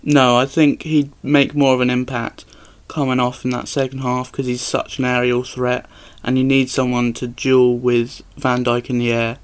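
A man's voice: medium pitch, completely flat delivery, normal speed, hardly any pauses, smooth. A young man co-hosting a casual football podcast, giving matter-of-fact opinions. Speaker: podcast co-host.

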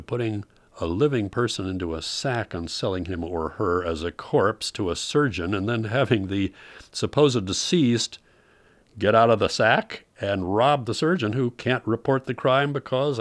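A man hosting an old-time radio program, narrating from a script in a relaxed way. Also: mid-range voice, normal speed, no echo.

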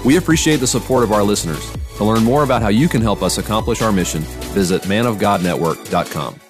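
A man's voice: on the low side, quick pace. A man reading a scripted promotional announcement for a religious ministry, with music behind him. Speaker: announcer for a ministry podcast network. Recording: voice over clear background music.

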